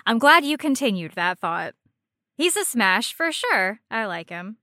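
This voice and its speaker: Bright-voiced, playful woman podcaster. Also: a little high, big pitch swings, measured pace, no room sound, clean studio sound. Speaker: podcast co-host. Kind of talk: casual, playful banter about video game characters.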